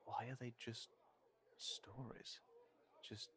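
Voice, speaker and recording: A man playing a cautious, protective fantasy character in an intimate audio roleplay, speaking haltingly and thoughtfully as if to one companion. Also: mid-range voice, level tone, fairly bright tone. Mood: worried, hesitant. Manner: hesitant, halting, thoughtful, trailing off.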